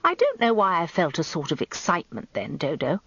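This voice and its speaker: An actress playing a prim middle-aged barrister's wife, narrating a radio story in a confiding, arch manner. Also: female voice, on the low side, huge pitch swings, fairly quick, breathy edge, no room sound, full character voice. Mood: confiding, flustered. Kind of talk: dramatised scripted storytelling. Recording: slightly dull, lo-fi radio recording, dry.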